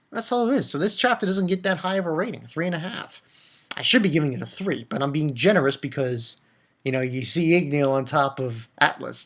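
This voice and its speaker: Casual vlogger voice for a manga rant: medium pitch, blunt, foul-mouthed, mocking, animated.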